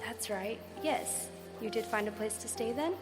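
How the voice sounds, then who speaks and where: An actress playing an eager radio news reporter in a live radio drama, reporting breathlessly from the scene. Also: female voice, fairly high pitch, normal speed, close to an everyday voice. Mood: earnest. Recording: live stage with piano music.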